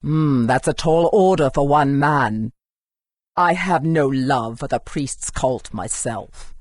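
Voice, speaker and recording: Aloof, low-voiced warrior woman, dry. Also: dry recording, heavily acted. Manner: formal, measured. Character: aloof, composed, self-reliant woman warrior. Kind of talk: video game voice acting.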